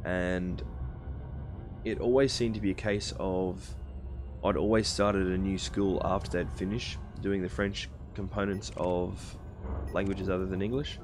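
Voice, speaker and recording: A man's voice: deep voice, little pitch movement, measured pace, music in the background. A young Australian man casually chatting with his live-stream viewers while playing a space game. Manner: chatty, answering chat viewers between game actions.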